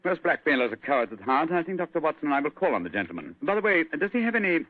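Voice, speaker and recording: An actor playing a brilliant, sharp male English detective, crisp and commanding in 1940s radio acting style, on a tinny old radio broadcast. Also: a little high, very animated, racing, no room sound. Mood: brisk.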